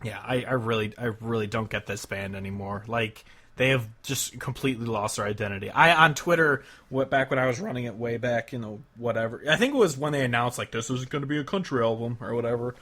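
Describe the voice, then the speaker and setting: A man's voice: medium pitch, fast talker, sharp tone. Blunt, exasperated man chatting casually. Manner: blunt, profane, exasperated complaining. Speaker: podcast co-host. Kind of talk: casual music discussion.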